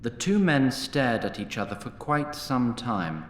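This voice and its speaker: Male fantasy narrator: deliberate, dryly humorous, mid-range voice with animated intonation. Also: mid-range voice, roomy sound. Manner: wry, deliberate. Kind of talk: scripted storybook narration of a fantasy audio drama.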